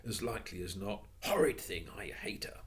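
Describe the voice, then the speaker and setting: A man's voice: medium pitch, expressive, a little slow, fairly bright tone, voice pushed up. A man voicing an eager, hot-headed young boy.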